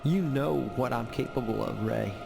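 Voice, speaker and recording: Solemn, gravelly young Western preacher. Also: male voice, mid-range voice, normal speed. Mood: grave resolve. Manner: solemn, earnest. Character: remorseful religious younger brother in a Western. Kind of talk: dramatic game narration and dialogue. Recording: long reverb with music behind.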